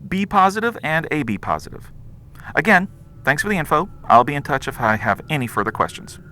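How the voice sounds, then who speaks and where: Investigator for mystery audio drama: a suspicious, businesslike questioner, forceful bright tone, lively intonation. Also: mid-range voice, fairly quick. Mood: businesslike, probing. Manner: businesslike, probing. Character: brisk, pressing, suspicious investigator.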